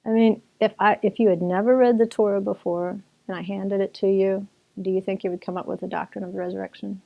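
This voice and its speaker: A woman author talking through her book in a relaxed, conversational way, chatty and full of restarts. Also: mid-range voice, quick pace, creaky, highs cut off. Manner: chatty, with restarts and occasional laughter. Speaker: author and Bible teacher.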